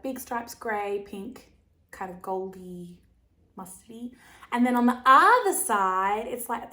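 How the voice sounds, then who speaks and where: A young Australian woman chatting casually to camera as host of her knitting podcast. Her voice is slightly croaky from laryngitis, at a middling pitch, and she talks in a bubbly, rambling way full of fillers and little giggles. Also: sharp tone.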